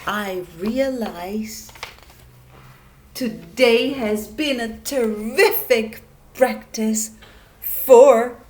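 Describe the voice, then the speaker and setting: A woman's voice: a little high, very slow, a little mellow. A woman voicing an earnest, hot-tempered young male pig athlete in a dramatic picture book read-aloud. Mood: proud, upbeat. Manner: emphatic, dramatic.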